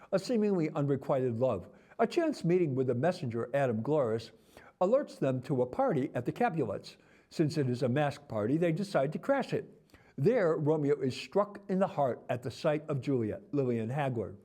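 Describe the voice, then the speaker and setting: A man delivers a scripted arts review in a soft, mellow tone. His voice sits a little high for a man and moves through lively swings of pitch. The phrasing is articulate and theatrical, like a practiced critic reading aloud.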